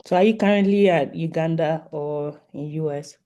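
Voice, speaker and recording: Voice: medium pitch, normal speed, warm tone, dull audio. A host informally moderating an online meeting over a video call, politely inviting participants to introduce themselves. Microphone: video call microphone.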